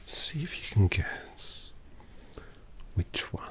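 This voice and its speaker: A man voicing a sardonic old male vampire painter who drawls intimately in a gravelly whisper, as an ASMR roleplay. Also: extremely slow, fairly bright tone. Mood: snide whisper.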